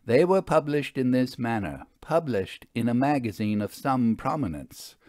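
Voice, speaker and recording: A man's voice: medium pitch, expressive, moderate pace, darkish tone, no echo, clean studio sound, close to an everyday voice. A man voicing a spirited, opinionated older woman who investigates ghosts, speaking with theatrical emphasis in a story reading. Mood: reminiscing, earnest. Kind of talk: audiobook character dialogue.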